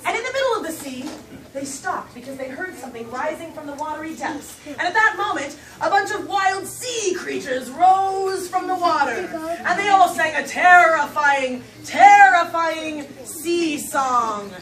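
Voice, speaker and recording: A high-pitched woman tells a story to young children with theatrical emphasis. She is some way from the mic in an echoey room, with a crowd of kids around her. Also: animated, distant mic. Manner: dramatic, theatrical, drawn-out emphasis. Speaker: storyteller leading a puppet show for young children. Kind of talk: live children's storytelling with puppets.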